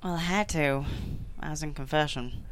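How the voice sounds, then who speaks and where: A woman's voice: low-pitched, normal speed, lightly acted. An actress at a table read playing a cheeky, easygoing young bride, with room noise behind her. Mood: playful. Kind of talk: scripted table read acting.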